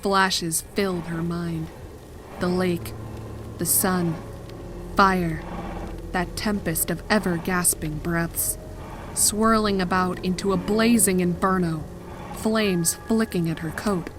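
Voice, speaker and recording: A woman narrating a scripted horror story in a measured, dramatic manner. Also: fairly low pitch, fairly even intonation, noisy background.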